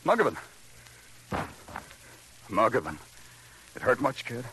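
A man's voice: medium pitch, sing-song, slow pace, a bit bright, a little hiss or hum, poor audio. A man playing a tough, weary big-city police detective in a 1950s radio crime drama, terse and brooding. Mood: curt, commanding. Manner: hard-boiled, terse, brooding. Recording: old radio recording with music.

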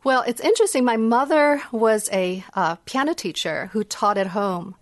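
A woman's voice: a little high, animated, moderate pace, no echo, studio quality. A middle-aged woman from Indonesia and Hong Kong, native in Indonesian and Dutch, speaking English as a gracious, reflective podcast guest. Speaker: guest, music psychology researcher. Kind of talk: conversational podcast interview. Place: remote video call from home. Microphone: video call.

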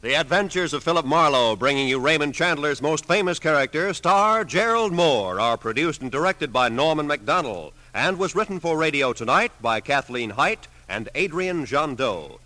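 A vintage American radio announcer, a man with a highish, very bright voice. His scripted delivery is formal and polished yet lively, with music faintly behind him. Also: moderate pace, hardly any pauses, low-quality recording. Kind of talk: 1940s-50s network radio announcing, scripted.

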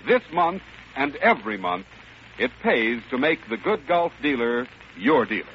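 Lively, folksy male radio pitchman, old recording. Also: measured pace. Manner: folksy, polished sales pitch. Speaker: commercial announcer. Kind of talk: 1940s folksy radio advertisement. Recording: dull, noisy old radio broadcast.

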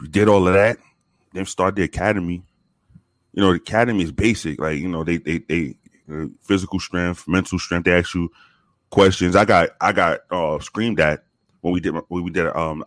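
A man from the Bronx with a very deep voice, telling profane, animated stories with many restarts in a casual interview. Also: moderate pace, dry recording. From the Bronx, New York. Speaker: guest, former police officer.

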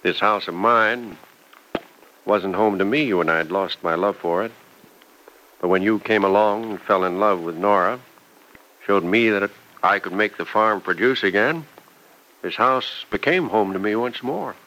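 Kindly old farmer, low-pitched. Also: male voice, a little slow, pushed and bright, poor audio. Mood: tender, reflective. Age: older. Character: kindly, ailing old farmer, fatherly widower. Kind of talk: old radio serial acting. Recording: vintage radio recording with faint noise.

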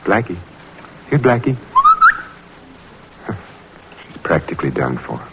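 A man's voice: sits low, sing-song, takes it slowly, exaggerated voice. A man playing an earnest, driven doctor and researcher in an old-time radio drama, with clipped, theatrical delivery. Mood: grave. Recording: noisy old radio recording.

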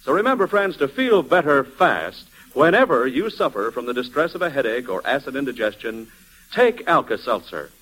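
A man's voice: medium pitch, very animated, normal speed, fairly bright tone, rough recording. A man announcing a 1940s radio advertisement in an emphatic, persuasive manner. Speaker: commercial announcer.

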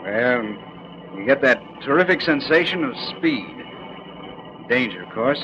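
An actor playing a confident, combative military test pilot captain in 1940s radio drama, breezy and plain-spoken. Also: male voice, mid-range voice, expressive, a little slow, close to an everyday voice. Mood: breezy, assured. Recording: dull vintage radio recording, vehicle noise.

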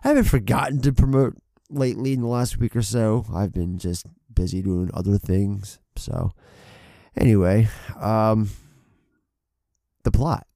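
A man's voice: lowish voice, fairly even intonation, unhurried, gravelly, dark tone, no room sound, clean studio sound. A man with a stuffy head cold, co-hosting a casual film podcast, rambling with many fillers and casual swearing into a desk mic. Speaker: podcast co-host. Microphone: desk mic on an arm.

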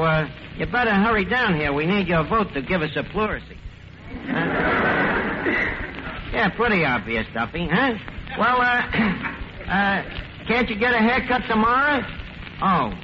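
An actor playing a wisecracking, scheming tavern manager with a New York accent, slangy and sarcastic, in 1940s radio comedy style. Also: male voice, high-pitched, lively intonation, moderate pace. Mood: cajoling. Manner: sardonic, malapropism-prone, slangy. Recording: muffled, hissy 1940s radio recording.

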